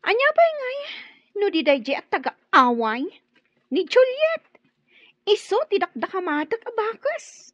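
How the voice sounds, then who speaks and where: A woman's voice: extremely high pitch, sing-song, measured pace, fairly bright tone, no echo, muffled recording, exaggerated voice. A woman playing a touchy, jealous young woman who scorns her rival in an Ilocano radio drama, with an Ilocano accent. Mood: indignant, spiteful.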